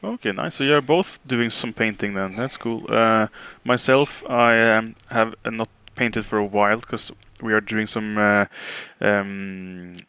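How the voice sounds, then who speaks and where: A man's voice: on the low side, measured pace, few pauses, sharp tone, smooth, no echo. Male podcast host, native Norwegian with matching accent, clean resonant voice, very dry sound, informal chat. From Norway.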